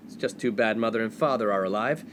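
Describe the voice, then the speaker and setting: A man's voice: fairly low pitch, quick pace, fairly bright tone, slight background noise, natural voice. A man playing a snobbish, scolding upper-class college student in a 1950s radio comedy. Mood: indignant, scolding. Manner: pompous, scolding. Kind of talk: comedic radio play acting.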